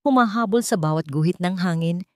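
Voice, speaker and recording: A woman tells a scripted story in a dramatic narrating style. Her voice sits a little lower than most women's. It is recorded close, with a very dry sound and no room echo. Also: a little fast, a little mellow.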